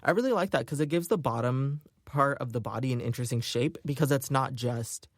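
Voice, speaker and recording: Man, podcast host, casual upbeat chatter, mid pitch, dry close mic. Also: fairly quick, no echo. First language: English. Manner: chatty, upbeat, lively, with filler words. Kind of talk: casual solo podcast chat.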